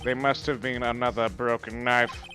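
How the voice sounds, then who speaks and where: A man voicing a cool, proud prosecutor in a live fan dub, with a measured, haughty delivery. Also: medium pitch, flat delivery, bright, forceful tone, soft background music, natural voice. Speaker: fan voice actor.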